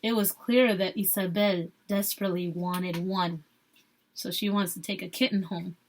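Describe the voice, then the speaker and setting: A woman teacher reading a story aloud expressively, pausing to put questions and asides to her young listeners. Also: medium pitch, fairly even intonation, low-quality recording.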